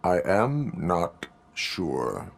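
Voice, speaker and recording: A stern cyborg police officer in a video game, speaking in a very deep, low voice. His words come out slowly and flatly, clipped and mechanical. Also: vocal fry, slight background noise, heavily acted. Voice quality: flat, clipped, mechanical delivery. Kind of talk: video game cutscene acting.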